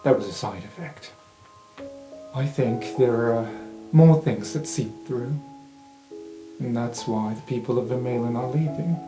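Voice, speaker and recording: A man's voice: mid-range voice, unhurried, long pauses, close to an everyday voice. A man playing a brooding ancient professor, roomy sound. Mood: grave, musing. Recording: roomy sound under music.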